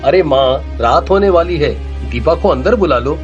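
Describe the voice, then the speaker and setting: Kind young villager, played by a man, high, earnest, slightly muffled, faint music. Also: expressive, normal speed, lightly acted. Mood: concerned. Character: kind, easily frightened village young man, dutiful husband.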